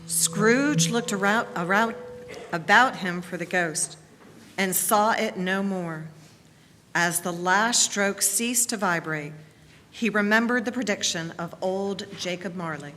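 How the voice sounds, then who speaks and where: A woman narrating a story in a deliberate, scripted dramatic reading. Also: mid-range voice, slight background noise. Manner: measured, deliberate. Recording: loud music behind the voice.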